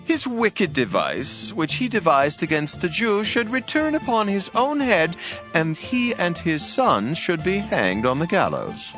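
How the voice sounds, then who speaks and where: A slow, stately ancient king. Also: high voice, wildly swooping pitch, music in the background, heavily acted. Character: commanding ancient male Persian king. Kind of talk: dramatized scripture reading.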